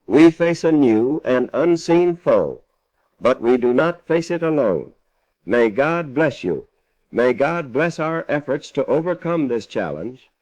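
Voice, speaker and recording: An actor playing a resolute American president, giving a formal, measured 1940s radio address in an American accent. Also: male voice, mid-range voice, a little mellow. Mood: solemn. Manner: measured, solemn, oratorical. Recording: dull vintage radio broadcast sound.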